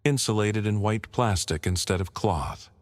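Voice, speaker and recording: A man reading a scripted first-person horror story as narrator, in a measured, matter-of-fact way with short clipped sentences. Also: fairly low pitch.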